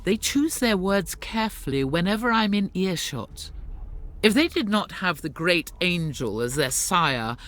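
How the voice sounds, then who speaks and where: A zealous battle sister. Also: female voice, lowish voice, expressive, a little slow, a bit bright. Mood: brooding. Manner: fervent, commanding. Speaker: warrior nun. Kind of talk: video game cutscene voice acting.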